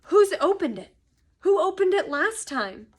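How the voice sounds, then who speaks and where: A woman's voice: very high voice, big pitch swings, a little slow, voice pushed up, full character voice. A woman voicing a boy hero in a storybook, fierce and insistent, with an urgent, indignant way of questioning.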